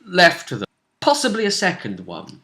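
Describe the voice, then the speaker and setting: A man chatting casually as a game master, recapping a tabletop role-play session with animated storytelling and asides. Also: sits high, normal speed.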